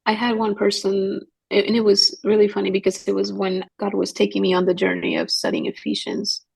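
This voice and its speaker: Husky, gravelly-voiced woman telling stories. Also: mid-range voice, level tone, a little fast, a little mellow, rough recording. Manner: earnest, storytelling, quotes others in dialogue. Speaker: guest missionary. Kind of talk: podcast conversation.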